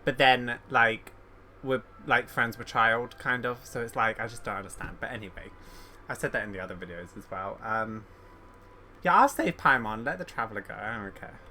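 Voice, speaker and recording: A man's voice: lowish voice, moderate pace, pushed and bright, a little hiss or hum. A man giving casual, rambling commentary as a game streamer, thinking aloud and opinionated.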